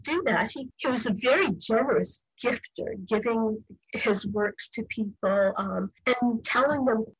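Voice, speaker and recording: Older woman, novelist guest, husky voice, higher pitch, dry close sound, measured and reflective. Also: dry recording, poor audio. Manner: thoughtful, measured, occasional restarts. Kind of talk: reflective interview answers.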